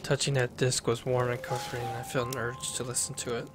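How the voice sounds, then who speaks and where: Young male streamer, flat even read-aloud delivery, headset mic, faint background hiss. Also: mid-range voice, fast. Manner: even, steady reading with occasional restarts. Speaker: gameplay streamer. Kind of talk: reading game text aloud while playing. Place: small room at home. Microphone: headset with desk mic.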